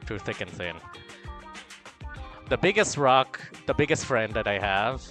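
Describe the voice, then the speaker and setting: A man streamer from the Philippines, gossiping and reading chat in a camp, animated way. His bright, lively voice leaps between low murmurs and loud squeals, while faint music plays in the background. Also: unhurried. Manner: chatty, camp, reading chat aloud, swings from mumbling to loud excitement. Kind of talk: casual livestream chat.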